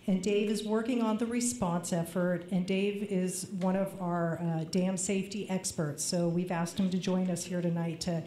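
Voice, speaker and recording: Low-voiced woman in an echoey hall. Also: warm tone. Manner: low-voiced, reads from notes, slightly nervous. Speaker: state agency official. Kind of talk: public meeting remarks. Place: large public meeting hall. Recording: echoey meeting hall, faint noise.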